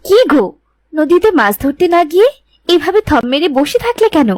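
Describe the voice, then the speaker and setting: A woman's voice: extremely high pitch, big pitch swings, moderate pace, a little mellow. A woman playing a fed-up, nagging and sarcastic village wife in a cartoon. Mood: scolding. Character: fed-up, scolding wife of a poor fisherman. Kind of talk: Bengali cartoon acting.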